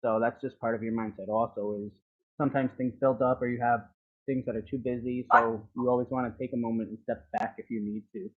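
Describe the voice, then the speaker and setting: A man's voice: medium pitch, flat delivery, quick pace, slightly rough. A man, a regular in the room, talking casually and reflectively about stepping back to reset his mindset. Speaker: regular speaker, small business owner. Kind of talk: casual chat. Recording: tinny phone-like audio.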